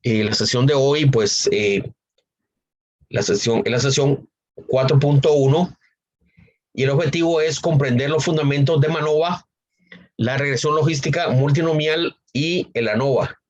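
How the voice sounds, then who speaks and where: Hoarse professor with a cold. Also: male voice, medium pitch, a little mellow, muffled recording. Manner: unhurried, with frequent fillers and repetitions. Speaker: university statistics professor. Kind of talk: online lecture.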